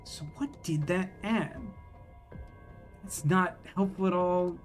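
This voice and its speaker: High-pitched man rambling over game music. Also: slow. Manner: rambling, thinking aloud, wry. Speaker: game streamer. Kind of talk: casual live commentary on a game.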